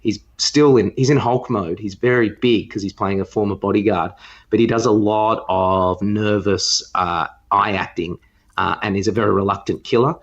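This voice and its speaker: A man with a fairly low voice chats as a podcast co-host. He rambles through his opinions in an informal, opinionated way. The recording is a little dull and muffled. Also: steady tone, moderate pace. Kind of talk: casual film review chat.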